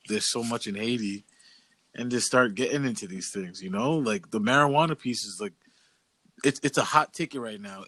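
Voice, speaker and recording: A man's voice: mid-range voice, a bit bright, dry recording. A young man from Boston, co-hosting a podcast and telling stories in a loose, slangy, casual way. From Boston, USA. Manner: laid-back, loose, slangy storytelling. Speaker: podcast co-host. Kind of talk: casual chat.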